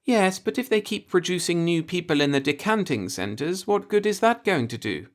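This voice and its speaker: Man putting on a coy, high-pitched playful young woman. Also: big pitch swings, moderate pace. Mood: bored.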